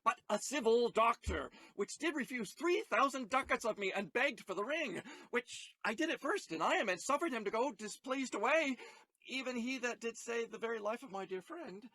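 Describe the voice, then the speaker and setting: A man's voice: very high voice, expressive, moderate pace, sharp tone, no room sound, exaggerated voice, poor audio. A man playing an ardent young husband in a Shakespearean audio play, pleading earnestly and emphatically in verse. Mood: pleading, defensive.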